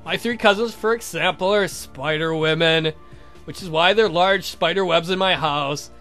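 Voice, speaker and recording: Man playing a high-voiced, courtly vampire count. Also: normal speed, a bit bright, faint music behind, close to an everyday voice. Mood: earnest confessing. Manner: formal, verbose, confessing. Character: courtly lovestruck male vampire count, formal and earnest.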